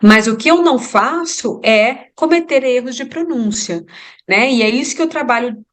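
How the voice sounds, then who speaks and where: Brazilian lady english teacher giving advice, kinda high voice, really emphatic, says key stuff twice. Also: lively intonation, normal speed. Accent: Brazilian Portuguese. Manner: emphatic, repeats points for emphasis. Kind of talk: conversational interview, giving advice.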